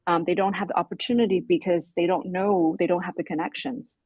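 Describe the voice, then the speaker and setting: A woman's voice: lowish voice, brisk, a little mellow, slightly rough, dry recording. Modest woman from Hong Kong, dry sound. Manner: modest, laughs easily. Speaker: interview guest. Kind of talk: video call interview.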